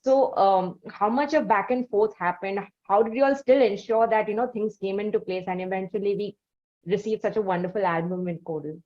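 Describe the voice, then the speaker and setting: A woman from India hosts an interview, asking long, careful questions padded with "uh" and "you know". Her voice sits in a middle range and sounds dull and lo-fi. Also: medium pitch. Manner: measured, with frequent "uh" and "you know". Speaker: interview host.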